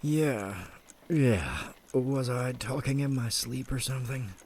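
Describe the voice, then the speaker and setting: A man playing a weak, tired, loving husband in an audio drama, in a hushed and weary way. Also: medium pitch, expressive, slow. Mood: groggy. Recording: faint noise with clicks.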